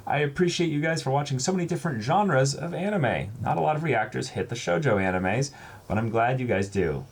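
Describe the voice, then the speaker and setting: A man chatting casually and reading letters aloud as co-host of an unboxing, halting when he ad-libs and expressive when he reads. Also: medium pitch, vocal fry, breathy edge. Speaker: co-host of a reaction channel. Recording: faint background noise.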